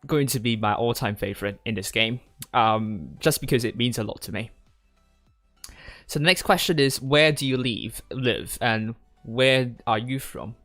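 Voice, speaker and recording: A man's voice: mid-range voice, a bit bright, dry recording. A young man from Hong Kong chatting casually as a gaming streamer, rambling hesitantly and often repeating words as he answers viewers. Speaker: gaming streamer and tournament commentator. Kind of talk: casual livestream Q&A chat. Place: indoors at a desk in a gaming chair.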